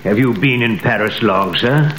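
A man's voice: fairly low pitch, animated, moderate pace, a bit bright. A man voices a formal, deferential banker who stays wary of his client. The vintage broadcast is roomy, with faint hiss. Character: polite, wary male banker. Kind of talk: 1930s radio drama acting. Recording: echoey old radio recording with hiss.

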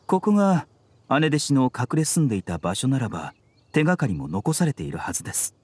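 Courteous, enigmatic physician, lively intonation. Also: male voice, mid-range voice, husky. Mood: businesslike. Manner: polite, measured, softly teasing. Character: courteous, composed young male physician, gently enigmatic. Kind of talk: anime game voice acting.

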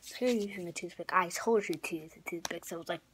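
A young woman with a raspy, often whispery voice, rambling through a casual craft tutorial with lots of fillers and restarts, filmed close up on a phone camera in her bedroom. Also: on the low side, fast. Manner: rambling, filler-heavy, self-correcting. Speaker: craft vlogger. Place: small bedroom indoors. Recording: noisy home recording.

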